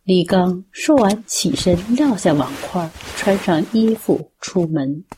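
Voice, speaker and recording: A woman's voice: fairly low pitch, expressive, slow, mellow, a little hiss or hum. A woman narrating a radio drama from a script as its storyteller.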